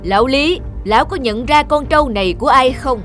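A man's voice: very high voice, a little fast, a bit bright, soft background music. A man playing a stern, shrewd magistrate with a formal, authoritative manner in a cartoon folk tale. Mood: commanding. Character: stern, shrewd male mandarin judge.

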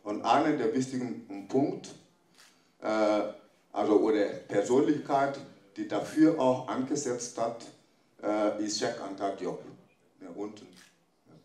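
A middle-aged man speaking at a panel, not a native German speaker, halting with many fillers and restarts, holding a handheld mic. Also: fairly low pitch, darkish tone, recorded from a distance. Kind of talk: panel talk. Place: large echoey conference room. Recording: echoey room, mic held off.

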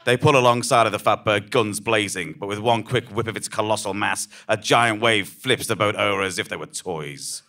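Deep, bright-voiced dramatic male storyteller. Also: moderate pace, few pauses. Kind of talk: dramatic scripted narration of an absurd tale, read live. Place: on a small theatre stage before a seated audience. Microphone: stand microphone.

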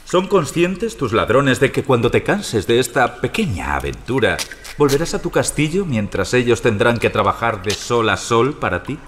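An actor playing a menacing medieval sheriff in an audio drama, authoritative, smug and taunting. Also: male voice, mid-range voice, big pitch swings, normal speed, fairly bright tone, slight background noise. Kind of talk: Spanish audio drama acting.

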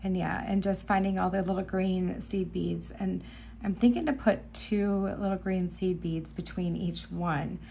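A woman hosting a beading video, chatting enthusiastically while she works, her voice a little hoarse. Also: fairly low pitch, fairly even intonation, unhurried, warm tone, a little hiss or hum. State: slightly hoarse, clearing throat. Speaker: beading channel host. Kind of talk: casual crafting tutorial. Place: indoor craft table. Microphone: camera above table, hands only.

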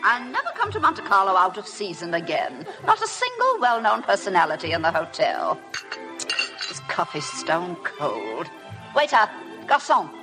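Pushy, animated society snob. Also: female voice, medium pitch, normal speed, rough recording. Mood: bossy, peevish. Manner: gushing, bossy. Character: pushy, snobbish society woman on holiday, imperious employer. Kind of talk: 1950s radio acting. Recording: restored 1950 radio broadcast, music behind.

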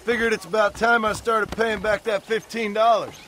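A rugged ex-outlaw cowboy in a western game. He speaks in an American accent, courteous and drily understated, pitched high for a man. Faint outdoor noise sits behind him. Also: fairly even intonation, moderate pace, fairly bright tone. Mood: dry, polite. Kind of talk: western video game acting.

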